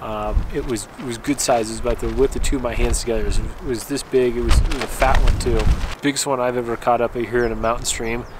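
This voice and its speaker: A man's voice: mid-range voice, fairly even intonation, quick pace. A man in his thirties with an American accent, chatting casually and rambling to camera as an outdoor vlogger. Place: outdoors in mountain wilderness. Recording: outdoor ambient noise, wind.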